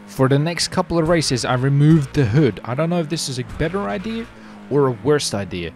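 A man's voice: on the high side, animated, brisk, darkish tone, faint music behind. A man doing casual commentary during an online roleplay game, given to swearing and exclamations. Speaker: gaming streamer.

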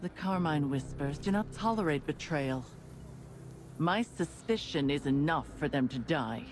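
A woman voicing a cold, ruthless young gang leader who interrogates captives in a slow, measured, commanding way. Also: low-pitched, lively intonation, slight background noise. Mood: menacing. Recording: game voice with faint music.

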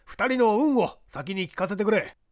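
疑り深く威張った会社社長を力強い口調で演じる男性。. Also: male voice, high-pitched, expressive, normal speed, pitched above the performer's own voice. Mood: blustering. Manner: forceful, emphatic, bossy. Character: blustering, skeptical male company president.

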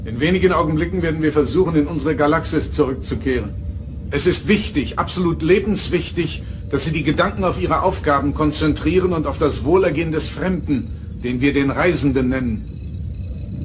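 An actor playing an earnest starship captain in an audio drama, speaking formally and with authority. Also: male voice, a little high, normal speed, background noise, lightly acted. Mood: solemn command. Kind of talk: German audio drama acting. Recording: studio drama with music underneath.

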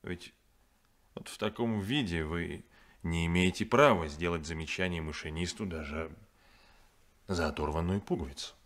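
An actor playing a stern, demanding ship captain in a 1970s radio play, firm and reproachful. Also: male voice, low voice, moderate pace, pauses often, fairly bright tone.

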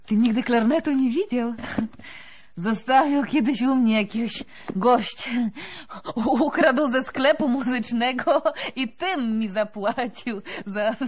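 A woman's voice: on the high side, a little mellow. An actress playing a bold, flirtatious brothel woman in a radio drama, coquettish and brash.

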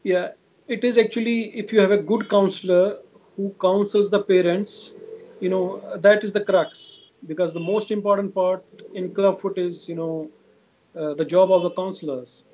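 A man with an Indian accent speaks in a notably high voice, giving explanatory answers as an interviewed clinician. He tends to repeat his phrases. The sound is slightly muffled and lo-fi, as over a video call. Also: fairly even intonation, normal speed, a little mellow. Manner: repetitive, often says "you know". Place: indoor room, video call. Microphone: video call device at arm's length. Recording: dull, lo-fi video call audio.

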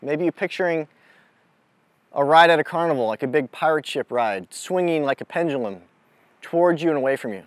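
A man speaking as a mental toughness coach, giving a deliberate, instructive motivational lesson to a group out in the open. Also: a little high, no room sound. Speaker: mental toughness coach leading a youth clinic. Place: outdoors on an amphitheater lawn.

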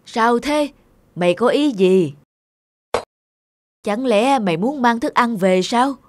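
A woman playing a kindly, compassionate elderly grandmother in an animated tale, gentle and caring. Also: on the high side, takes it slowly. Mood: caring, curious. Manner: gentle, caring, musing aloud. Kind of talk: animated moral tale dubbing.

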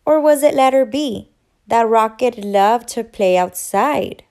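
A woman's voice: on the high side, a little slow, darkish tone. A woman teacher reading and quizzing for young children in an instructional, encouraging manner. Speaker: teacher and children's story reader. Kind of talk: children's read-aloud and quiz.

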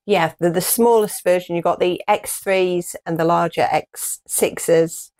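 A woman's voice: lowish voice, steady tone, unhurried, a little mellow. A middle-aged British woman chatting casually as co-host of a news show, recorded in a small room indoors. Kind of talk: casual chat.

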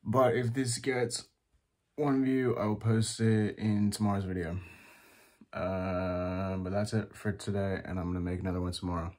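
A man's voice: on the low side, steady tone, moderate pace, darkish tone, no room sound. Rambling young man vlogging on his phone. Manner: rambling, with many ums and self-corrections. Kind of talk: casual daily vlog to camera. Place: house living room, then park outdoors, then inside a car. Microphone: phone camera held at arm's length.

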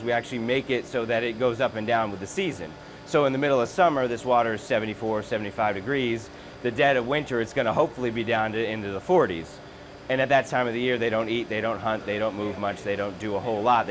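A man's voice: mid-range voice, slight background noise. Casual man explaining, faint ambience. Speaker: zoo staff member. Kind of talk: interview.

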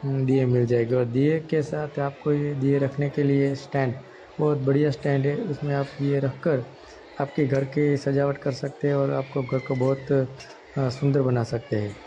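A man from India, narrating a casual shopping vlog with hesitant, halting phrasing. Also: mid-range voice, flat delivery, dark tone. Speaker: vlogger. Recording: dull lo-fi audio with background noise.

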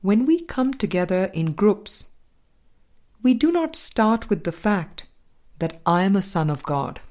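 A woman narrating an audiobook, reading from a script in a measured manner. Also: mid-range voice, big pitch swings, a little mellow.

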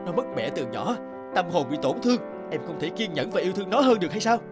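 A man's voice: sits high, sing-song, quick pace. A man voicing a caring, worried middle-aged father in a cartoon, earnest and chiding. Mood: upset, reproachful. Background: background music.

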